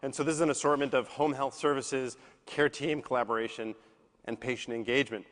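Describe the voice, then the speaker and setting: Male moderator, hoarse, subdued, mid-pitched, long hall reverb. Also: fairly even intonation, fairly quick, fairly bright tone. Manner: subdued, polite. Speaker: session moderator. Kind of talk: moderating a conference panel.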